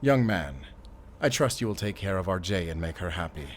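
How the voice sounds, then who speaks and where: A man's voice: deep voice, animated, moderate pace, a little hiss or hum. An actor playing a middle-aged, wealthy, doting father in an audio drama, with a pompous, emphatic way of talking. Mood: warm fatherly. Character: wealthy, doting, commanding father of a grown son.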